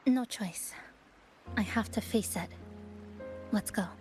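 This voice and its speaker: A woman voicing a reserved, earnest young woman in a video game, speaking softly and hesitantly in measured phrases. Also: mid-range voice, soft background music, natural voice. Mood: quiet grief. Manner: soft, hesitant, measured, with pauses. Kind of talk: video game story dialogue.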